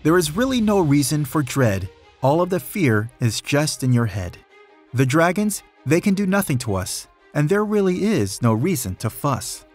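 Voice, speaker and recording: A man's voice: fairly high pitch, sing-song, moderate pace, darkish tone, faint music behind, pitched above the performer's own voice. A man voicing a brave young boy prince in a storybook, proclaiming emphatically to reassure his people. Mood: reassuring, proclaiming.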